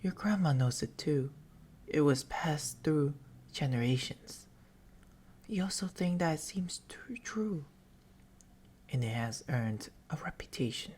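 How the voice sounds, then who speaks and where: Man acting as a quiet eerie demon, very slow, half-whispered, coaxing, close-up ASMR roleplay, patient and theatrical. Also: a little high, animated, slight background noise. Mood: hushed storytelling. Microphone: close binaural microphone.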